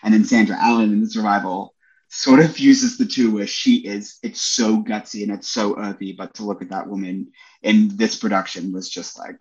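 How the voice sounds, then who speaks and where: A man's voice: fairly low pitch, fairly even intonation, normal speed, darkish tone, dull audio. A young man, a Broadway performer, chatting as a podcast guest, enthusiastic and full of "like" and "you know" fillers. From Australia. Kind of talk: casual interview chat.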